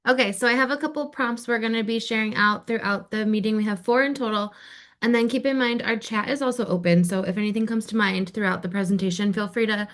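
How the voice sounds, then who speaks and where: A woman facilitating an online webinar, giving clear, practical instructions over a video call. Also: a little high, quick pace.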